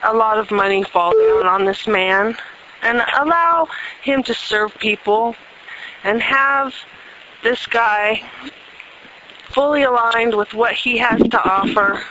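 A woman answering a phone prayer line in a brisk, matter-of-fact way. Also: on the high side, sharp tone. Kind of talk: phone call with improvised spoken prayer. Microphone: telephone. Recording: dull phone recording, faint noise.

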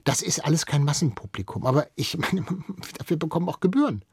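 Husky man in a dry studio. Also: fairly high pitch, expressive, fairly quick, a little mellow, no room sound. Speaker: radio expert guest. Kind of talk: explanatory interview talk.

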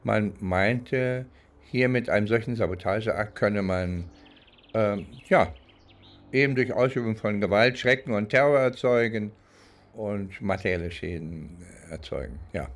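An older man reminiscing without a script, speaking deliberately and often searching for his words. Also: fairly low pitch. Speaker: interviewee recounting criminal cases. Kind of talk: unscripted reminiscing in a documentary.

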